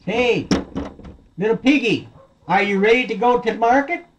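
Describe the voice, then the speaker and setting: A man's voice: sits high, expressive, moderate pace, pitched above the performer's own voice. A man voicing a big bad storybook wolf, scheming and easily frustrated, in a folksy, wheedling, grumbling way. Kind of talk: storybook character voice.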